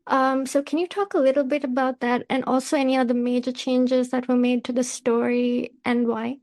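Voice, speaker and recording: A woman hosting a podcast, putting prepared interview questions in a measured, polite way. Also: high voice, flat delivery, a little mellow.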